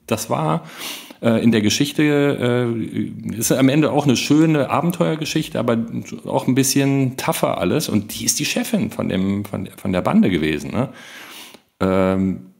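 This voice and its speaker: A man in casual podcast conversation, emphatic but halting, circling back to restart his phrases. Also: medium pitch, lively intonation, creaky, darkish tone. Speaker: podcast panelist.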